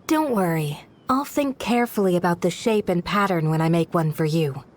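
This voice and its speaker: A woman playing a modest guardian of a desert village in a video game, speaking in a measured, polite and formal manner. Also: fairly low pitch, expressive, a little mellow, slight background noise, close to an everyday voice. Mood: composed, sincere. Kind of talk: video game character dialogue.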